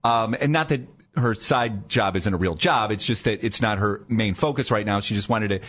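A man's voice: fairly low pitch, moderate pace, a bit bright. A man hosting a morning radio talk show in a chatty, conversational way. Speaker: radio host. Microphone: studio mic.

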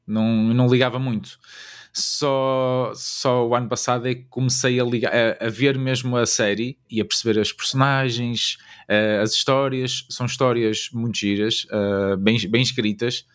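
Male interviewee, a father, average pitch, European Portuguese accent, casual, hesitant delivery. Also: fairly even intonation, normal speed, fairly bright tone, clean tone.

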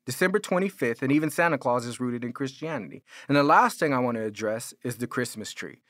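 American pastor preaching conversationally, dry close sound. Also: male voice, medium pitch, lively intonation, fast, a bit bright, no echo. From California. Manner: informal, conversational, argumentative. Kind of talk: sermon, explanatory apologetics teaching.

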